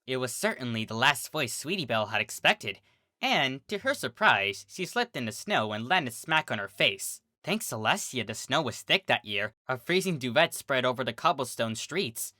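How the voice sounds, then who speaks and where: Low, lively woman storyteller. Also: normal speed, dry recording, studio quality. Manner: expressive, dramatic read-aloud. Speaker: fanfiction reader. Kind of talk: storybook narration.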